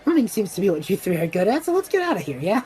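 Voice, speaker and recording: A woman's voice: medium pitch, sing-song, rapid-fire, close to an everyday voice. A woman playing a wry, reluctant young magic user in a fantasy audio drama, casual and self-deprecating in manner.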